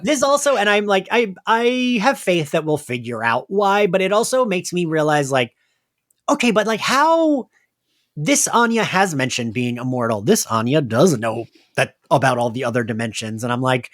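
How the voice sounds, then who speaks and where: A man co-hosting a podcast, recapping a show in casual, chatty talk full of excitable tangents. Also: a little high, moderate pace. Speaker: podcast co-host. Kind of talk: casual recap chat about an audio drama.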